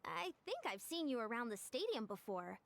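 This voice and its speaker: A woman's voice: a little high, big pitch swings, moderate pace, vocal fry. A woman voicing an upbeat, encouraging young female coach in an anime game. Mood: friendly, encouraging.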